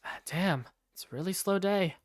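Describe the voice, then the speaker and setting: A woman reading first-person narration and lead lines for a game fandub in an expressive, conversational way. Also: lowish voice, normal speed, dry recording, clean studio sound. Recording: faint music behind the voice.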